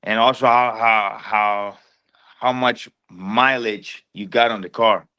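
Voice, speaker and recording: A man in his thirties with a lowish voice that still carries a bright, forward edge. He talks in a relaxed, slangy street manner as a guest on a podcast. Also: slow, clear, resonant tone. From Sweden. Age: 30s. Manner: laid-back, slangy street talk. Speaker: boxer, guest. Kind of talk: casual chat.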